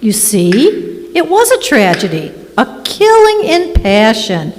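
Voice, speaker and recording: A woman's voice: a little high, huge pitch swings, takes it slowly, a little hiss or hum, heavily acted. A woman playing an earnest, romantic, melodramatic daughter in an old-time radio drama on stage. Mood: wistful, thrilled. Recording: echoey hall stage recording.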